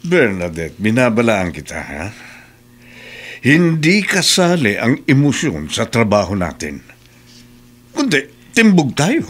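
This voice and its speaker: A man's voice: medium pitch, huge pitch swings, a little slow, long pauses, a bit bright, breathy edge, exaggerated voice. A man playing a stern, wary criminal accomplice in a radio soap, speaking with warning emphasis. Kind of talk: Philippine radio soap opera acting.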